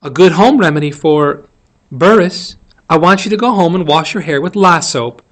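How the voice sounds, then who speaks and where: A man's voice: a little high, moderate pace, pitched above the performer's own voice, natural voice. A man voicing a prim, squeamish woman schoolteacher in a storybook, with a proper, anxious manner. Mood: prim, polite.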